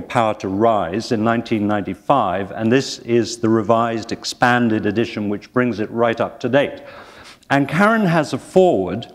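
An older man interviewing a guest onstage before an audience, speaking in a measured, gently wry way. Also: mid-range voice. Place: library event hall with audience. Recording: hall with long reverb.

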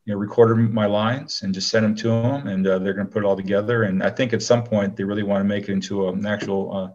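Guy with a lowish voice chatting in an interview, kinda rambly, lots of pauses. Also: fairly even intonation, darkish tone, muffled recording. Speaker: actor, interview guest. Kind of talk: casual interview chat.

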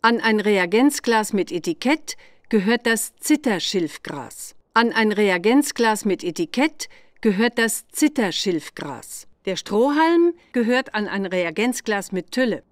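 A woman playing an older witch examiner who gives patient, deliberate instructions in a children's game. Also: mid-range voice, big pitch swings, no room sound, clean studio sound. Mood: patient, instructive.

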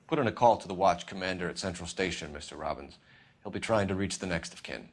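An actor playing a formal, clipped young 1940s American homicide detective questioning people in a game cutscene. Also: male voice, sits low, quick pace. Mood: courteous. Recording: music behind.

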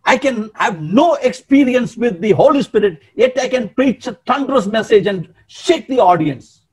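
A man in his sixties preaching a sermon in an emphatic, animated way, often calling out to his listeners, over a webcam in a small room. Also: very high voice, moderate pace, breathy edge. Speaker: pastor.

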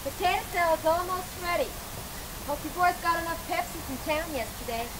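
A woman's voice: extremely high pitch, brisk. An actress playing an anxious young wife and mother, worried and homely, in an audio drama. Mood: domestic. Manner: worried, domestic. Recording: noisy storm sound effects behind.

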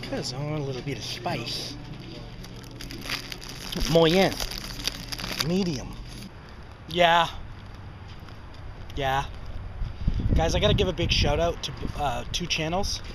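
Casual male vlogger, thirties, slightly higher voice, laid-back and rambling, phone-camera sound with loud background noise. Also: slow, long pauses. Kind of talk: casual vlog chat. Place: grocery store, then inside a car at night. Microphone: handheld camera at arm's length.

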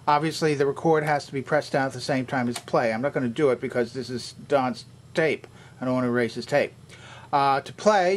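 Older male demonstrator, slightly high-pitched for a man, methodical how-to delivery. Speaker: vintage electronics repairer and demonstrator. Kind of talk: explanatory hands-on product tour.

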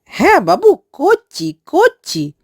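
A woman's voice: high voice, huge pitch swings, slow, no echo, studio quality, heavily acted. A woman voicing a fawning, sly male servant in a cartoon, with an obsequious, theatrical delivery. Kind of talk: exaggerated Bengali cartoon voice acting.

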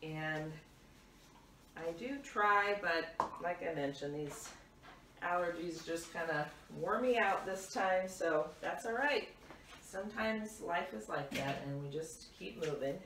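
A woman's voice: on the low side, takes it slowly, a bit bright. A middle-aged woman chatting casually and off the cuff as she moves around a home kitchen doing chores, like a vlogger. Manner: unscripted, talks while moving about doing chores. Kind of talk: casual chat while tidying. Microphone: camera a few meters away. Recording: roomy, distant mic, clattering dish noise. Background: dishes clattering, clicks.

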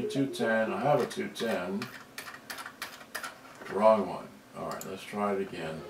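Guy doing a loose hobbyist show-and-tell, kinda mumbling to himself, far from the mic, music behind. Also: fairly low pitch. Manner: rambling, muttering to himself while searching. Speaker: vintage electronics hobbyist presenter. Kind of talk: casual off-the-cuff show-and-tell narration. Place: indoors in a room at a computer screen. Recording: roomy echo, distant mic, music behind.

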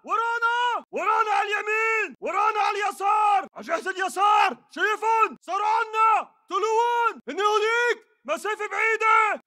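Aggressive Levantine militant for a shooter game: urgent shouted callouts, very high and piercing. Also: male voice, exaggerated voice. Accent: Levantine Arabic. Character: aggressive male militant fighter in combat. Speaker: enemy soldier voice. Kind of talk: video game battle chatter, shouted.

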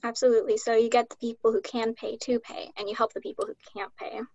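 A young woman asking questions on a video call, with quick, affirming replies. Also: a little high, little pitch movement, darkish tone. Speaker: interviewer. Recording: dull lo-fi video call audio.

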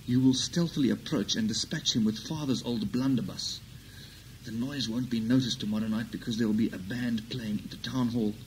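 A man's voice: medium pitch, a little hiss or hum, natural voice, poor audio. A man playing a scheming, bossy villain in a radio-play parody, with hammy theatrical delivery and wordy diction. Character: scheming melodramatic villain, bossy brother and plotter.

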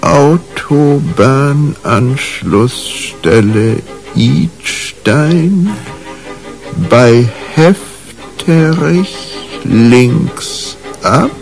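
An actor playing a clairvoyant in a trance, groping for visions in halting fragments, in a radio crime drama. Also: male voice, mid-range voice, gravelly, a little mellow, exaggerated voice.

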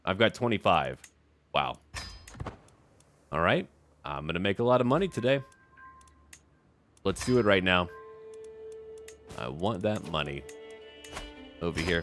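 Male gaming host, low register, very varied intonation, offhand delivery, talks to the on-screen characters. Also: fairly bright tone. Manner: talks aloud to game characters. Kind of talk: casual gameplay commentary.

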